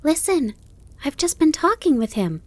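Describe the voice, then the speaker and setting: A woman voicing an excitable, polite, innocent young male fawn in an animal storybook, eager and full of wonder. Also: high-pitched, unhurried, no echo, voice pushed up. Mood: delighted. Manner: wide-eyed, eager, wondering.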